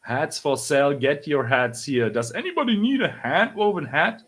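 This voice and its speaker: A man's voice: a little high, lively intonation, moderate pace, fairly bright tone, husky. A man voicing a plucky, hopeful young orphan girl who sells hats, earnest and pleading, in a comic read-aloud. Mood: plaintive, hawking.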